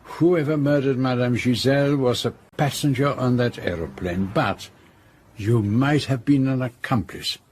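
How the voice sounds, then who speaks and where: An actor playing a shrewd, courteous private detective in an audio drama, measured and gently probing. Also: male voice, mid-range voice, slight background noise, lightly acted. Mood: persistent, shrewd.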